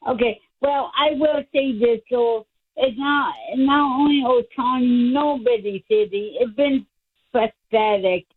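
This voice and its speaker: A woman's voice: fairly high pitch, unhurried, breathy edge, dry recording. A woman calling into a sports radio show, frustrated and hesitant, heard over a phone line. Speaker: caller.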